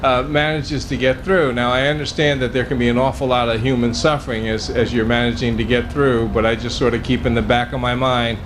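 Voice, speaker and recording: A man on a panel speaking calmly and measuredly into a table mic in a large tent. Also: medium pitch, fairly quick, few pauses, noisy background. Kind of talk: panel discussion answer. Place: large tent at an outdoor event. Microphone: table mic on a stand.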